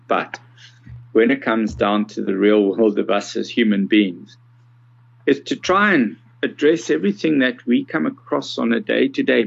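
A South African man in his sixties giving conversational, measured interview answers with some hesitation. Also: lowish voice, vocal fry, highs cut off. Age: 60s. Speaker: podcast guest, accessibility consultant.

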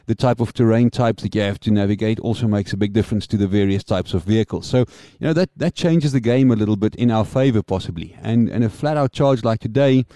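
A man from South Africa with a South African accent, co-hosting a podcast and explaining things in a measured way. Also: lowish voice, dark tone, no echo. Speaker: podcast co-host, motorsport pundit. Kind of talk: casual analytical chat.

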